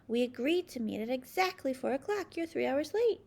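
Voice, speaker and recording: A woman's voice: high voice, expressive, normal speed, gravelly, a little mellow, heavily acted. A woman voicing a fuming, easily offended male grape in a children's storybook, scolding in an indignant, accusing way. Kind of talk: children's storybook read-aloud.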